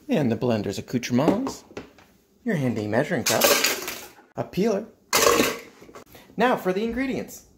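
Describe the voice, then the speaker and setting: Guy doing a jokey recipe how-to in his kitchen, deadpan, average pitch, bit of noise behind him. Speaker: cooking show host. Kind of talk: playful how-to recipe vlog. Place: home kitchen and dining room.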